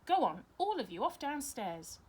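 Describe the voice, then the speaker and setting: A woman's voice: high-pitched, big pitch swings, moderate pace, darkish tone, slight background noise, voice pushed up. A woman voicing a weary, firm mother in a children's storybook, exasperated and emphatic. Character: weary, firm mother elephant wanting peace.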